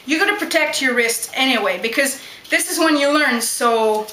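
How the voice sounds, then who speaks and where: Bright-voiced young woman, chatty. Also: high voice, expressive, moderate pace, a little hiss or hum. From Montreal, Quebec, Canada. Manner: chatty, direct, keeps asking "you know what?". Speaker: backyard wrestler. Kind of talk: casual Q&A vlog. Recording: echoey room.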